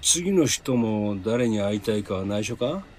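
Gruff middle-aged antihero, male actor, radio drama; curt masculine phrasing, lively intonation. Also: on the low side, a little slow, a little hiss or hum. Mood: blunt, reflective. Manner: blunt, terse, old-fashioned masculine phrasing. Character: gruff hardboiled middle-aged man, a reluctant spirit medium. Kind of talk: radio drama dialogue and narration.

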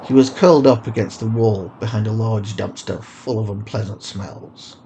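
A man's voice: fairly low pitch, moderate pace, dark tone, slight background noise, rough recording. A man reading scripted fiction as a story narrator.

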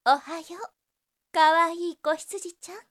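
Slow, high-voiced seductive succubus. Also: female voice, a bit bright, full character voice. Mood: teasing, enticing. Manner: slow, coaxing, flirtatious, doting. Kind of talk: Japanese situation voice drama acting.

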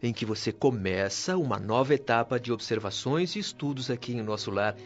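A man's voice: medium pitch, lively intonation, a little fast, close to an everyday voice. An actor playing an earnest, brotherly male spirit guide in a radio drama, with a formal Brazilian delivery. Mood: cheerful, affectionate. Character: earnest, warm-hearted male spirit guide and devoted friend. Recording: dull lo-fi radio drama with prominent music. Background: music.

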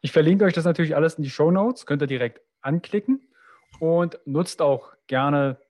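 A man hosting an interview podcast, thanking his guest and pointing listeners to the show notes in a friendly, brisk manner. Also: fairly high pitch, a little mellow. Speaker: podcast host.

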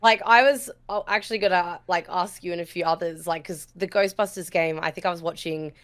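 A woman's voice: lowish voice, steady tone, brisk, clear, resonant tone, no room sound. A woman co-hosting a weekly gaming show, running through game releases in an easygoing chat. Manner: easygoing, laughs often, fillers. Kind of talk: casual chat and news rundown.

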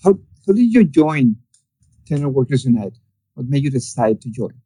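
A man's voice: mid-range voice, very animated, normal speed, warm tone, breathy edge, dry recording, low-quality recording. A man hosting an interview series, formally reading a prepared introduction and asking questions. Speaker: host.

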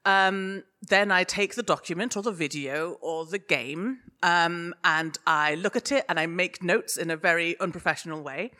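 A woman's voice: lowish voice, normal speed, hardly any pauses, sharp tone. A British woman chatting casually as a guest in a live interview, informal and self-deprecating, given to giggling. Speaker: podcast guest, podcaster and sensitivity reader.

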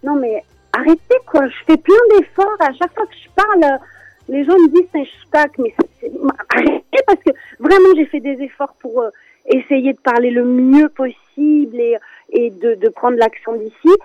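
High-pitched animated French woman. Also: moderate pace, dry recording, lo-fi sound. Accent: French with Alsatian touch. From France. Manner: animated, laughs often, many fillers. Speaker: guest children's singer. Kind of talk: casual radio interview chat.

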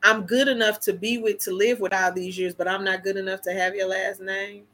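A woman's voice: mid-range voice, level tone, brisk. A woman, co-hosting a casual chat, blunt and emphatic as she talks. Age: 40s. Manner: blunt, emphatic, laughs loudly. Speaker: co-host.

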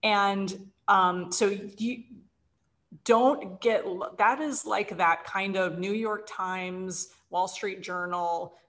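A woman's voice: mid-range voice, steady tone, slow, a bit bright. A woman giving advice in a casual conversation as an expert guest, emphatic and often answering her own questions. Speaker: guest, sales author and publisher giving advice.

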